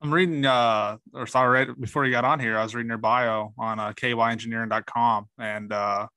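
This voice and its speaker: Bright, dry-sounding male interviewer. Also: mid-range voice, fairly even intonation, brisk, no room sound. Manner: chatty, hesitant questions with fillers. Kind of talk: casual interview questions.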